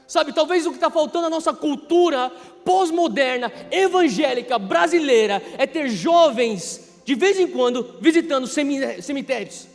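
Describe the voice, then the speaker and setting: Male pastor, thirties, Brazilian accent, very high-pitched impassioned delivery with heavy emphasis, long hall reverb. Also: lively intonation, normal speed. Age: 30s. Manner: emphatic, repeating key phrases, swinging from hushed to loud. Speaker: pastor preaching. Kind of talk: impassioned sermon. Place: large reverberant church hall. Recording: reverberant hall PA, music underneath. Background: music.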